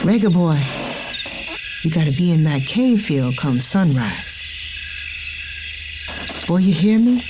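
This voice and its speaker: A woman's voice: lowish voice, animated, slow pace, pauses often, background noise. A woman playing a tired, caring but firm enslaved mother in an audio drama, with a Southern American accent. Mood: firm, calling.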